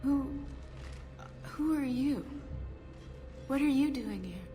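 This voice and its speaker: An actress playing a disoriented, shaken colonist in a video game, speaking hesitantly as if dazed. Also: female voice, high voice, expressive, takes it slowly, mellow, faint music behind. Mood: confused, wary. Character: shaken, disoriented female colonist waking from trance.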